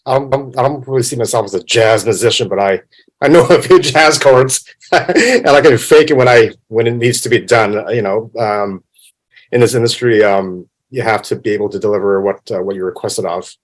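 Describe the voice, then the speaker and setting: A man's voice: medium pitch, normal speed, poor audio. A man from Canada, a guest on a podcast, answering casually with frequent laughs and restarts. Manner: relaxed, laughs often, many restarts. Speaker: film composer, guest. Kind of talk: casual interview.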